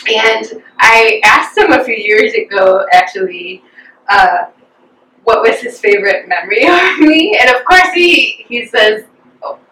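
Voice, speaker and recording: A young woman from the United States talks casually as an interview guest, thinking aloud with plenty of fillers. Her voice is bright and clear-edged. She sits back from the microphone in an echoey room. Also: a little high, animated, measured pace. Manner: chatty and reflective, with frequent fillers. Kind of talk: casual personal storytelling. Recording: roomy echo, distant from the mic.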